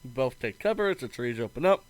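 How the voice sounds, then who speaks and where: Expressive man reading a story game aloud. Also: mid-range voice, fast talker, no echo, low-quality recording. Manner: expressive read-aloud delivery with stumbles and asides. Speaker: streamer reading a visual novel aloud. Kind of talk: game let's play, reading narration aloud.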